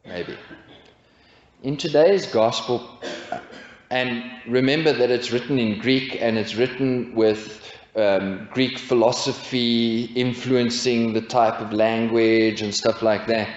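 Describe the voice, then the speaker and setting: A man in his forties preaching a sermon from a pulpit, asking his congregation rhetorical questions in a measured way. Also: mid-range voice, steady tone. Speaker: pastor. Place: church, indoors at a pulpit. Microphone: pulpit stand mic. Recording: echoey church, dull lo-fi sound.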